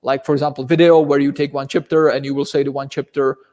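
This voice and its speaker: A man's voice: mid-range voice, little pitch movement, fast, darkish tone. Czech-accented man, reverberant phone audio. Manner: hesitant, repeats words. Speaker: co-host. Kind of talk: casual live chat.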